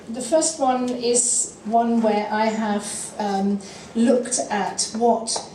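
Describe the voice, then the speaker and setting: Mellow-voiced woman presenting, slightly off-mic. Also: medium pitch, a little hiss or hum. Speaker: network lead presenting. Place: lecture room. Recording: roomy room sound picked up from a distance.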